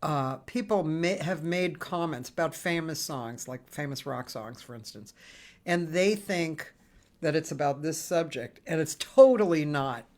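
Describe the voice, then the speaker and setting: A woman's voice: low voice, a little slow, a little mellow. A woman hosting a live online show, talking casually and rambling, with many restarts. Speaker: host. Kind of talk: casual chat.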